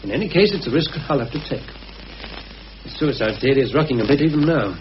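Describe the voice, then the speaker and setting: British radio-play conspirator, played by a male actor: grave, careful, hesitant, medium-pitched, on a hissy old recording. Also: lively intonation, fast, highs cut off, close to an everyday voice. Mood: resolute, cautious. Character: anxious, cautious male conspirator confiding a grave suspicion.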